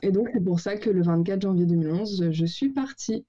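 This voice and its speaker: Relaxed woman in her thirties, lo-fi. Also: fairly low pitch, brisk. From Paris, France. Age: 30s. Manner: relaxed, many "euh" and "bah" fillers, searches for words. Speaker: guest. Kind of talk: casual interview storytelling. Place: home over a call.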